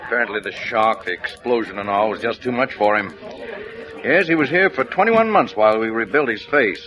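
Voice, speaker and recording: A man acts a sombre American doctor in an old radio play. His voice is on the low side, quiet and clinical, with music clearly behind it. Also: lively intonation, fairly quick, highs cut off, close to an everyday voice. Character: sombre, matter-of-fact doctor in a hospital. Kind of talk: 1940s radio drama acting.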